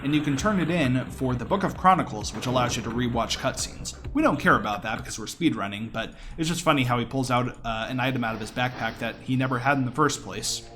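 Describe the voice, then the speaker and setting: A man's voice: mid-range voice, fast, few pauses, noisy background. A man giving casual, explanatory live commentary on a video game as its speedrunner. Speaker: speedrunner commentating own run.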